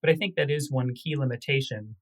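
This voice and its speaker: A man's voice: medium pitch, brisk. Male research physician guest. Medium register, formal technical explanation, precise and careful phrasing.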